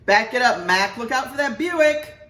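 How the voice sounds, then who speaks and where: A woman's voice: a little high, animated, normal speed, fairly bright tone, pitched above the performer's own voice. A woman voicing a brash, bossy male parking lot attendant in a read-aloud story, barking curt orders. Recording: roomy echo, faint noise.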